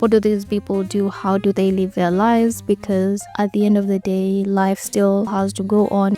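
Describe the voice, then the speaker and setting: Mellow, flat-toned woman reviewing books. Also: mid-range voice. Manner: earnest, enthusiastic, conversational. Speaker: book reviewer. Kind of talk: casual book review monologue.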